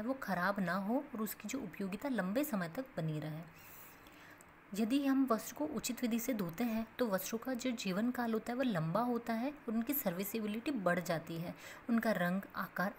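A woman teaching a home science lesson, explaining step by step in a steady, patient way while mixing in English terms. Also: on the high side, a little hiss or hum. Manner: steady explanatory, repeats points in plain steps, mixes English terms. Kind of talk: explaining a textbook page as a lesson.

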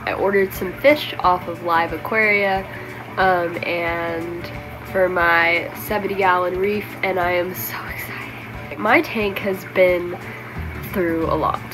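A teenage girl with a cold and a tired, stuffy voice, chatting excitedly to her phone camera in a casual vlog at home. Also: lowish voice, slow pace, music in the background, poor audio. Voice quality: tired, nasal congested voice. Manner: chatty, excitable, frequent ums. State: congested from a cold. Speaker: aquarium vlogger. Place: bedroom and home at night, indoors. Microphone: phone front camera at arm's length.

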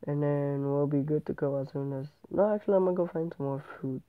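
A man's voice: on the high side, quick pace, clear, resonant tone. A male gamer with a stuffy nose from a cold, rambling through casual game commentary and often correcting himself. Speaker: gameplay channel host. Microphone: tablet microphone. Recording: tinny, phone-like tablet recording.

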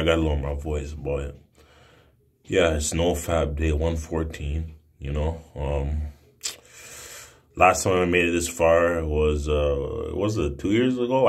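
A man in his twenties talking casually to camera in a brash, emphatic, slangy way with heavy swearing. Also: rumbling low. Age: 20s. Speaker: video blogger. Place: small room indoors. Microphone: phone at arm's length.

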